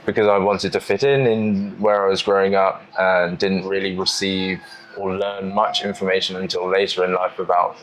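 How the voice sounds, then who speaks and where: A British man speaking reflectively as an interview guest, thoughtful and halting, pausing as he gathers his words. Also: low-pitched, little pitch movement, slight background noise, low-quality recording. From United Kingdom, London. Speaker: interview guest, touring cyclist.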